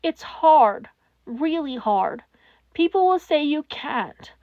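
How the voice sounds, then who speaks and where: A woman voicing a kind, earnest sixteen-year-old boy who answers a crisis hotline in a story, gentle and reassuring. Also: high voice, expressive, takes it slowly, no echo, narrow, tinny audio.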